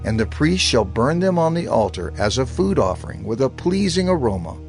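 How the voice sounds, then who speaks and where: A man narrating scripture in a measured, reverent reading with expressive rise and fall. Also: medium pitch. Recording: narration over background music.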